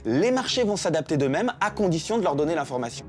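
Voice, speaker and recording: A man's voice: a little high, sing-song, fast talker. A man giving analytical, argumentative commentary in an interview for a documentary.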